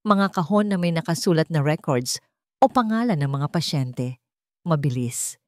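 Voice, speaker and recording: A woman with a Filipino accent voicing a cautious, commanding eldest brother in a story, hushed, terse and urgent. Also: low-pitched, expressive, moderate pace, no room sound, studio quality. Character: cautious, commanding boy, eldest brother leading his siblings. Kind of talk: dramatic storytelling.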